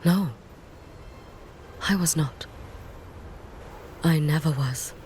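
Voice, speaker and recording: A stoic warrior woman guards an ancient seal in a fantasy game. She speaks in a low, unhurried voice, soft and grave, with formal phrasing. Faint ambience sits behind her. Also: a little hiss or hum. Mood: grave, questioning. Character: solemn, stoic female warrior and seal keeper. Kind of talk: scripted video game voice acting.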